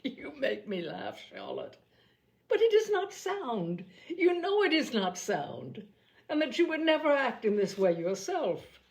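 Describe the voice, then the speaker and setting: A woman voicing a witty, principled young Regency gentlewoman with a wry, articulate, formal manner in a novel reading. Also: high-pitched, big pitch swings, measured pace, pitched above the performer's own voice, exaggerated voice. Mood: amused, teasing.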